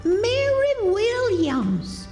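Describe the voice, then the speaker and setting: Actor doing evasive country boy in a radio play, southern us accent, really high voice, big echoey hall. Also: wildly swooping pitch, very slow, a little mellow, full character voice. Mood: nervous, evasive. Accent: American Southern. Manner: folksy, rustic dialect. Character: young runaway boy, evasive, folksy, posing as a girl. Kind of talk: live radio drama acting. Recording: echoey hall, music behind.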